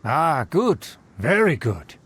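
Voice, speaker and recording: A man's voice: a little high, sing-song, slow pace, a bit bright, slightly rough, heavily acted. A man voicing a haughty, self-important aristocrat in a video game, with pompous and formal diction. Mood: smugly approving.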